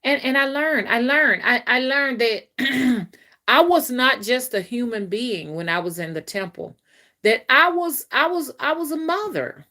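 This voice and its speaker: A woman's voice: sits high, lively intonation, measured pace, sharp tone. A woman hosting a casual spiritual live stream on her phone, rambling and repeating herself as she talks straight to her viewers and reads their comments. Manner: rambling and repetitive, addresses viewers directly.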